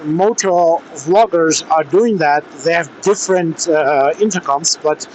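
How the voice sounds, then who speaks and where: A man with a slightly high voice speaks at a slow, halting pace in a casual riding vlog. He is recorded on a helmet intercom mic, with faint vehicle noise behind him. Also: poor audio. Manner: hesitant, halting delivery with pauses. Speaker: motorcycle vlogger testing gear. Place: outdoors, riding a motorcycle in traffic. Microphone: helmet intercom microphone.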